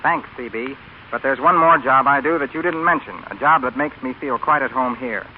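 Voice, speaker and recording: A man's voice: mid-range voice, a little fast. An American man giving a scripted talk as a guest on a 1930s radio show. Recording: scratchy, tinny old radio broadcast.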